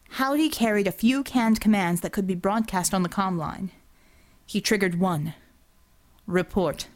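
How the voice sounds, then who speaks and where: A woman's voice: medium pitch, a little mellow. Woman narrating action fiction. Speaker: audiobook narrator.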